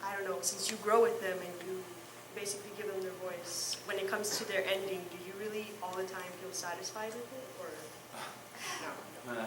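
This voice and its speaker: A woman's voice: medium pitch, steady tone, a little fast, echoey room, noisy background. A woman fan asking voice actors a question at a convention, hesitant and rambling.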